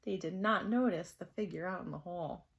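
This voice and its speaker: Expressive woman reading a children's story. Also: on the low side, normal speed, creaky, muffled recording. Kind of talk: expressive children's read-aloud narration.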